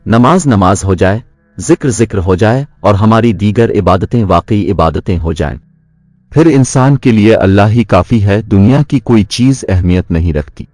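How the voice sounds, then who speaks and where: A man narrating a scripted moral story as a storyteller, in a measured, earnest way. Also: fairly low pitch, lively intonation, darkish tone, dry recording.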